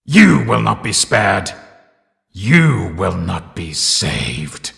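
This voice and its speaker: Gravelly, slow demonic nightmare animatronic. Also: male voice, low voice, big pitch swings, fairly bright tone, faint music behind, exaggerated voice. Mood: menacing. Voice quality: gravelly, breathy growl. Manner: slow, drawn-out menacing delivery. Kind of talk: horror game voice acting. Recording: long cavernous reverb.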